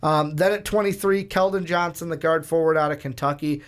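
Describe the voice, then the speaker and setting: A man's voice: on the high side, brisk. A man hosting a casual sports discussion in a chatty, joking way. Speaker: sports show host.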